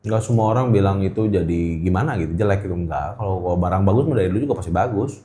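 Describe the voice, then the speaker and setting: Mellow low-voiced Jakarta man, echoey room. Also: rapid-fire. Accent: Jakarta Indonesian. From Indonesia. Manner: colloquial, repeats words for emphasis. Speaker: guest, gemstone trader. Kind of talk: casual interview chat.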